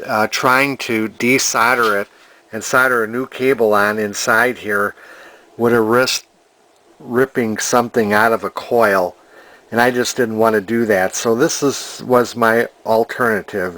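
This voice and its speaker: A man's voice: on the low side, a bit bright, rough recording. A man casually talking through an antique radio repair in his workshop, plain and hesitant with frequent fillers. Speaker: radio restorer. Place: home workshop.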